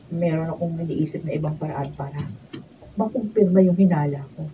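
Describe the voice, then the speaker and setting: A Filipino woman acting a suspicious but soft-hearted neglected wife in a radio drama. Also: deep voice, a little fast, lightly acted. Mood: scheming, pleading. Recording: distant mic, faint noise.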